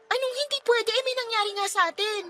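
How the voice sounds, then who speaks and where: A woman's voice: very high voice, steady tone, quick pace, bright, forceful tone. A woman playing a hurt, pregnant young woman confronting her friend in an emotional Filipino radio drama. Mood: hurt, indignant. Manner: emotional, pleading and reproachful. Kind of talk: radio drama acting in Tagalog.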